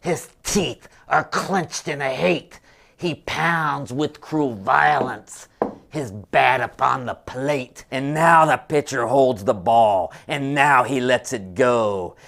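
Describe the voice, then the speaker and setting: A man giving a dramatic poetry reading in a theatrical, deliberate way, swinging between hushed tones and high, loud exclamations. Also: vocal fry. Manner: drawn-out, deliberate emphasis. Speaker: sports columnist reciting a poem.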